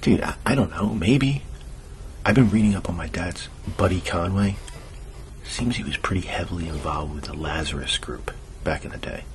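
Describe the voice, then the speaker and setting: A man's voice: normal speed, lightly acted. A man acting as a dry, earnest conspiracy-minded cousin in an ASMR audio drama, speaking in a sardonic, colloquial, explanatory way. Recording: noisy low-quality amateur recording.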